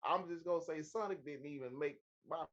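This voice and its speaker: A man's voice: fairly high pitch, brisk, fairly bright tone, lo-fi sound. A man co-hosting a podcast, bantering casually in a teasing, colloquial way. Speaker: podcast co-host.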